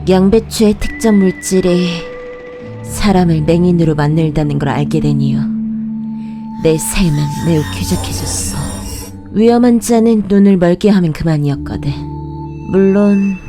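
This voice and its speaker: A woman playing a brooding witch, narrating a dark fairy tale in a measured, ominous, matter-of-fact manner. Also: lowish voice, faint music behind, close to an everyday voice. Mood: cold, reflective. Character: brooding witch who raises a child in hiding. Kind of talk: scripted first-person horror fairy-tale narration.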